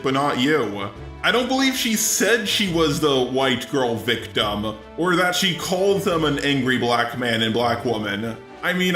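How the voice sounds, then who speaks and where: A man's voice: fairly high pitch, pushed and bright. Echoey synthetic man's robot voice. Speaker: commentary video host. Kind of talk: scripted video commentary.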